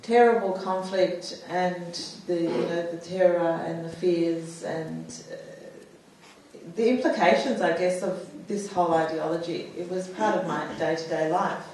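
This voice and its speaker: Woman, 40s, from the US; halting personal testimony full of fillers; lower voice; distant mic, very echoey hall. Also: fairly even intonation, a little mellow, a little hiss or hum. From United States. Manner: hesitant, many fillers and restarts. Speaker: panel speaker. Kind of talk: personal testimony at a public talk.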